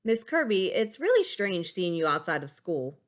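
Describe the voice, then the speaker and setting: A woman's voice: fairly low pitch, sing-song, moderate pace. A woman voicing a nervous, polite young schoolboy from a storybook, speaking awkwardly. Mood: awkward politeness.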